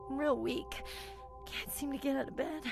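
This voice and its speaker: High-voiced, sickly bedridden woman. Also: creaky, exaggerated voice. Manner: faltering, hesitant. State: sick, weak, coughing. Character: weak, bedridden, confused young sick woman. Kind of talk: video game voice acting.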